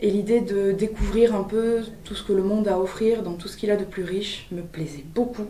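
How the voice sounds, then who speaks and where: A woman's voice: mid-range voice, steady tone, fairly quick, dark tone. A woman of twenty-six, a civic service volunteer, speaking in a thoughtful, composed way. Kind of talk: personal testimonial. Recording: roomy distant recording, faint guitar.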